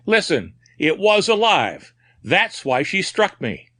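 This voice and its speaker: A man's voice: high voice, sing-song, slow, a bit bright, voice pushed up, heavily acted. A man playing a haunted, frantic asylum patient. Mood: feverish. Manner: feverish, confiding, breaking into frantic cries.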